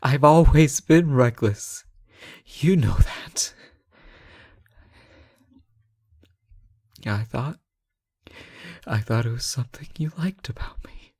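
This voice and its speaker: Man acting a slow, breathy, anguished captive king. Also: mid-range voice, expressive, a little mellow. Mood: hurt, wistful. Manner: halting, breathy, often dropping to whispers. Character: captive reckless but benevolent male ruler, lovesick and anguished. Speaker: audio roleplay performer. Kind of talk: emotional fantasy romance audio drama.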